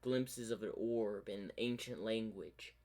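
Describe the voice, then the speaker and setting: A man tells a dramatic story in an improvised way. His delivery is slow and halting, as he pieces each scene together while he goes. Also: fairly low pitch, a little mellow.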